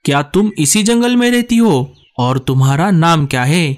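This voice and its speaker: A man's voice: a little high, sing-song, normal speed. A man voicing a friendly, naive, obliging male crow in a Hindi cartoon story.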